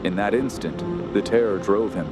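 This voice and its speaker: A man's voice: lowish voice, normal speed, loud music behind the voice, lightly acted. A man playing a somber storyteller, narrating a radio horror drama in a grave, literary manner.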